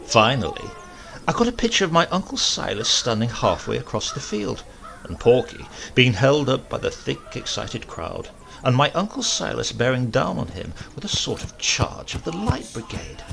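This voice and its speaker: A man giving an expressive, dramatic narration of a comic short story, relishing the phrasing. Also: medium pitch, normal speed, gravelly, background noise. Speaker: audiobook reader.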